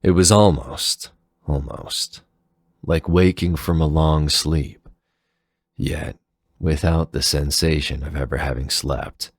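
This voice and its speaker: Male narrator for dramatic fan fiction: creaky, close dry sound, deliberate and measured. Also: rumbling low, fairly even intonation, dry recording.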